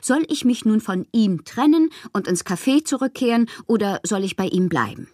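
An actress playing a passionate, eccentric bohemian poetess, reading fanciful letters in a theatrical radio drama monologue with a breathy voice. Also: female voice, mid-range voice, expressive, normal speed, darkish tone, natural voice. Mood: earnest, troubled. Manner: theatrical, dreamy, often slipping into breathy whispers. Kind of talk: dramatic literary monologue in a German radio play.

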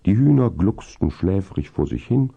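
Deep, very dark-voiced male storyteller. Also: sing-song, moderate pace, gravelly, husky. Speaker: narrator. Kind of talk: scripted storytelling narration, 1970s radio play. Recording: dull vinyl transfer.

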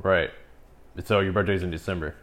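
Low-voiced young man chatting casually. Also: steady tone, moderate pace, fairly bright tone. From United States. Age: 20s. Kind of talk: casual chat.

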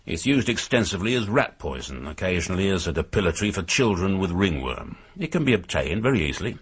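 British police inspector, low husky voice, calm n relentless, lays it all out formally, radio play. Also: male voice, heavily acted. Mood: firm, official. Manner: measured, formal, explanatory. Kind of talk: radio drama acting.

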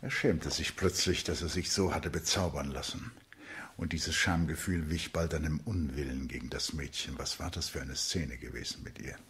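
Male radio drama narrator, extremely low register, measured literary read, slight hiss. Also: fairly bright tone.

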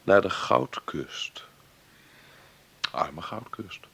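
A sardonic, wounded brother with principles in a radio drama. The voice is deep, rough with heavy fry and husky, slipping into ironic muttered asides. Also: male voice, expressive, very slow, pushed and bright, in a whisper, exaggerated voice. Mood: indignant, resigned. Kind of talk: Dutch radio play acting.

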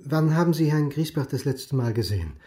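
A man's voice: a little high, very animated, a little fast, dark tone. A man playing a reserved, dogged academic turned amateur sleuth in a radio crime drama, speaking politely, formally and matter-of-factly. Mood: polite, probing.

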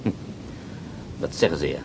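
A man's voice: moderate pace, background noise, natural voice. An actor playing a confident, scheming male prisoner of war on the run who talks in a persuasive, mocking way, in a radio play.

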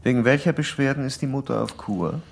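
An actor playing a stern, pedantic psychoanalyst in a radio play, lecturing and condescending. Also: male voice, medium pitch, brisk, creaky, a little hiss or hum, lightly acted. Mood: probing. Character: stern, pedantic male psychoanalyst probing a patient.

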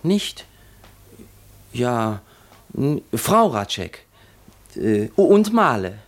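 A man's voice: mid-range voice, very animated. A man playing a frightened, simple young shop errand boy in a radio play, hesitant and stammering. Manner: hesitant, stammering, halting answers. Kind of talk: German radio play acting.

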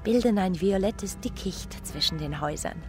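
An actress playing a passionate, dreamy married woman in a secret affair, often breathy and near a whisper, in a radio play. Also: mid-range voice, moderate pace, darkish tone, faint music behind, close to an everyday voice. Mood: dreamy wonder. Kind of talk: literary radio play acting.

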